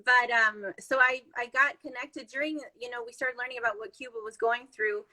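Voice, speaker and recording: A woman's voice: on the high side, fairly even intonation, normal speed, pushed and bright, low-quality recording. A woman from Chile, a podcast guest, talking in a chatty, upbeat way with many fillers. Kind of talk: casual conversation.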